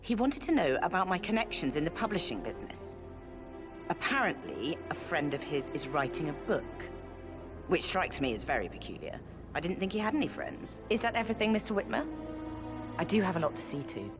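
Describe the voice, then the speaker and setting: Snippy posh sister, lively up and down, loud music under. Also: female voice, on the low side, brisk. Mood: impatient. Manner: crisp, gossipy, impatient. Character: brisk upper-class British woman, sharp-tongued sister. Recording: game audio with music behind.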